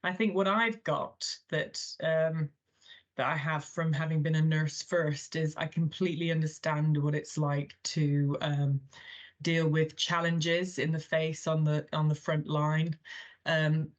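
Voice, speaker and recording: A woman's voice: low voice, steady tone, unhurried, muffled recording. A woman in her forties with a mixed American-English accent, answering interview questions thoughtfully with frequent ums and restarts. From Thailand. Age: 40s. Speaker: guest, healthcare chief executive.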